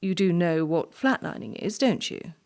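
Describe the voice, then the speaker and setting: An actress playing a clinical, pedantic female job interviewer in a stage play, with a clipped, probing and deliberate manner. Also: fairly low pitch, sing-song, darkish tone. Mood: probing, condescending.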